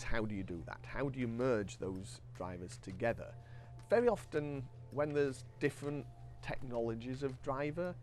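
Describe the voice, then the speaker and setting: A man's voice: mid-range voice, a little mellow. A man speaking as an engineer, giving an informal technical explanation and posing questions that he then answers himself. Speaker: loudspeaker engineer and designer.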